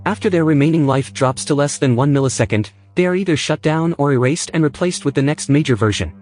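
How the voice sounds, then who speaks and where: Man playing an eager, high-pitched AI sidekick. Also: expressive, quick pace, a little mellow, natural voice. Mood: earnest, informative. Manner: polite, earnest, precise technical phrasing. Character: eager, loyal, nervous male AI sidekick. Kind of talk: audio drama acting. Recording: music under the dialogue.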